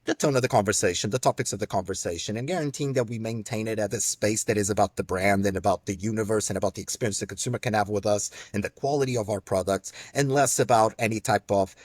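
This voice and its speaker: A man in his forties, from South Africa and raised in Portugal, telling his career story as a podcast guest in an animated, self-joking way. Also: on the low side, fast, hardly any pauses, breathy edge, no echo. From born in South Africa, raised in Portugal. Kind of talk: casual interview chat.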